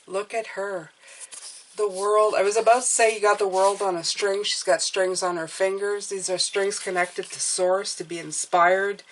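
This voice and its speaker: A woman's voice: medium pitch, steady tone, normal speed, slight background noise, rough recording. A woman giving a casual tarot reading who sounds stuffed up and nasal, speaking encouragingly and often checking in with "okay?". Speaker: tarot reader.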